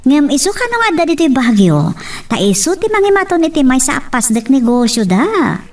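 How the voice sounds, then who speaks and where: Actress as animated, high-voiced young Filipina woman. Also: fairly quick, far from the mic, heavily acted. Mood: worried. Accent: Ilocano. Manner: animated, expressive exclamations. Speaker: voice actor. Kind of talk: Ilocano audio drama acting.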